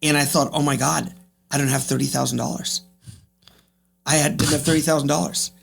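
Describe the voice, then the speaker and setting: A man's voice: fairly high pitch, steady tone, a little fast, gravelly, fairly bright tone, faint music behind. A man in his fifties speaking as an interview guest, reflective and emphatic, repeating phrases for effect. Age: 50s. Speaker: podcast guest, real estate agent.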